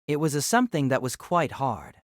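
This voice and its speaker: A man narrating a novel in an audio drama, reading in an even, measured way. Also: mid-range voice, dry recording, studio quality.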